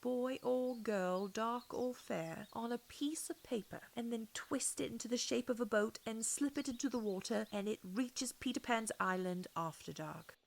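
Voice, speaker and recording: A woman's voice: mid-range voice, gravelly, a little hiss or hum. A woman reading a classic storybook aloud as scripted audiobook narration. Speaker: audiobook reader.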